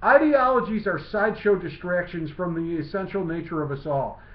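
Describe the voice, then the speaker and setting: A man reading his own literary essay aloud in a measured, declamatory way. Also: high voice. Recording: muffled lo-fi, roomy echo.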